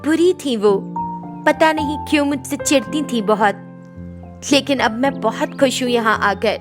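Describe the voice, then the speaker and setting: A woman's voice: high voice, moderate pace, over music, lightly acted. A woman voicing a kind, earnest young servant girl with a deferential manner in a story. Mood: caring, earnest. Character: kind young servant girl, cheerful despite a painful past.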